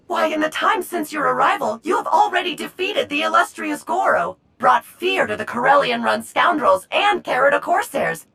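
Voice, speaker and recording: A woman's voice: high voice, gravelly, pushed and bright, full character voice. A woman voicing a chirpy, polite servant herald in a video game, with effusive, formal, flowery speech. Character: chirpy, polite, excitable servant herald spreading gossip of a legend.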